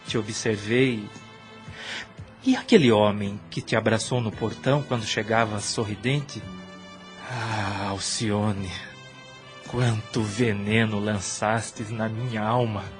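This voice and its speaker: A man's voice: medium pitch, lightly acted. An actor playing an emotive young man in love in an audio drama, with a Brazilian accent and formal old-fashioned phrasing. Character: wounded, reproachful young man in love, former priest. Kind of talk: radio drama acting.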